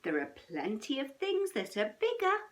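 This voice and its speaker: A woman voicing a gentle, reassuring mother bear in a storybook, speaking softly and patiently. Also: sits high, wildly swooping pitch, moderate pace, a little hiss or hum, voice pushed up, full character voice. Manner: soft, soothing, patient.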